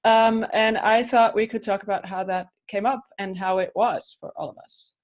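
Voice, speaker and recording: A woman's voice: medium pitch, steady tone, moderate pace, dull audio. A woman from Switzerland in her thirties, co-hosting a casual podcast chat and telling stories with frequent restarts. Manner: chatty, storytelling with restarts. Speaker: podcast co-host, coach.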